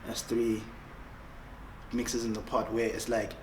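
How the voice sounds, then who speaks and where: A man's voice: lowish voice, level tone, slow, roomy sound, distant mic, slight background noise, low-quality recording. A man in his late twenties, born in Senegal, talking casually and jokingly in a documentary interview. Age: 20s.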